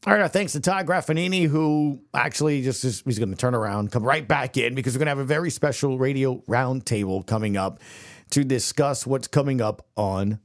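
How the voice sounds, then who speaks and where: A man's voice: mid-range voice, a little fast. A man hosting a sports podcast in a casual, conversational style.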